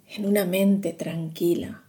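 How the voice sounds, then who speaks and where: A woman leads a guided meditation at an extremely slow pace, with long pauses between phrases. Her voice is mellow and slightly low, and her delivery is hushed and soothing. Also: steady tone.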